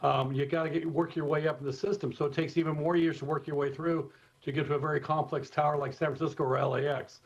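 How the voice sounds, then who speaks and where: A man with an American accent explaining things informally and in measured fashion as an expert guest in a live interview, over a remote feed. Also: on the high side, level tone, vocal fry. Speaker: expert guest, former airport communications director. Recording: dull, slightly lo-fi remote feed.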